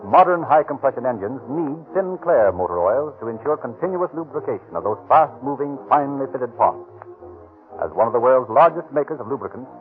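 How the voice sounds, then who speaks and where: A man announcing and reading a radio commercial as a smooth sales pitch. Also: lively intonation, brisk. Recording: tinny 1930s radio recording with faint music.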